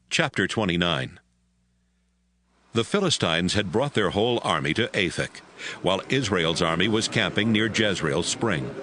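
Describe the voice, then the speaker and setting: A man narrating a dramatized audio Bible as scripted storytelling. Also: fairly low pitch.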